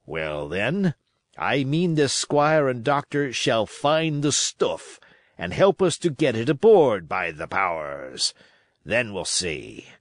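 A man's voice: medium pitch, sing-song, slow, no room sound, clean studio sound. A man reading a storybook, voicing a shrewd, domineering pirate sea cook with salty, emphatic sailor talk. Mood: scornful.

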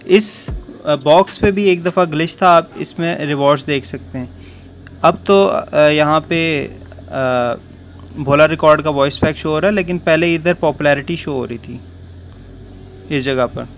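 Male gaming creator, slightly high voice, casual direct-to-viewer explainer, music underneath. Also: fairly bright tone. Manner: conversational, addresses viewers directly. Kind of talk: casual explainer over mobile game screen recording.

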